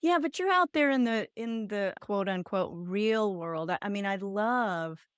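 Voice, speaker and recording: A woman's voice: mid-range voice, lively intonation, unhurried, smooth, no echo, studio quality. A woman hosting a podcast interview, asking long, circuitous questions in a casual chatty way. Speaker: podcast host.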